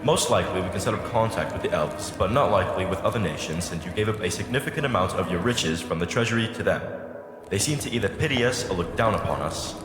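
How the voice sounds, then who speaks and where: A man's voice: low voice, animated, fast, music in the background. An actor playing a blunt, practical king's advisor who briefs matter-of-factly in a fantasy audio drama. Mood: frank. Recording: echoey hall.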